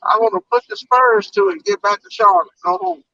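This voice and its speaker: A man's voice: extremely high pitch, big pitch swings, a little fast, creaky, sharp tone, no room sound. A man from Puerto Rico with a Southern US accent, telling stories in a casual video chat from a truck, laughing often and stammering as he restarts. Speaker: truck driver on a livestream. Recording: dull lo-fi sound.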